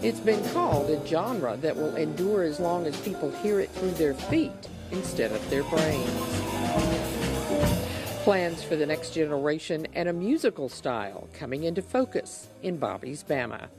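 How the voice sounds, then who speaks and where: A woman's voice: sits low, lively intonation, moderate pace, loud music behind the voice. A woman reporter reading a scripted television news feature in a narrator's style.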